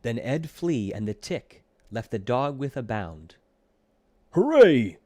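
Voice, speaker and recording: A man narrating a rhyming children's storybook in an expressive, deliberate storytelling way. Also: medium pitch, no room sound, clean studio sound. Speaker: audiobook reader.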